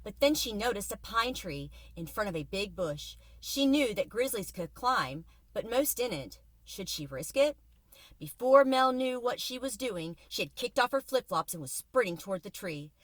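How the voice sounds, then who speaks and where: A woman reading a children's book aloud from inside a car, with expressive, suspenseful dramatic narration like a teacher. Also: mid-range voice, moderate pace, no echo. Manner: expressive, suspenseful storytelling with varied volume.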